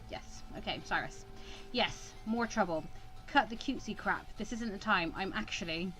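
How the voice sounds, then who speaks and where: A woman's voice: medium pitch, rough recording. A woman hosting a let's play, reading visual novel text aloud and breaking off for wry, sarcastic asides.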